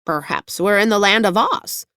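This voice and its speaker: A fussy storybook hen, acted out by a woman narrator. She is prim and skeptical, anxious by temper and quick to take fright. The sound is close and dry. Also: mid-range voice, animated, pushed and bright, dry recording. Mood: prim, doubtful. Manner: prim, skeptical, easily alarmed. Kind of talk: audiobook character voice.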